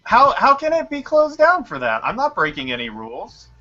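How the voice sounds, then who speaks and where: A young man hosting a casual group voice chat, talking quickly and a little scattered while he works on his computer. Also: sits high, wildly swooping pitch, pushed and bright. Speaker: stream host. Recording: lo-fi mic with faint noise.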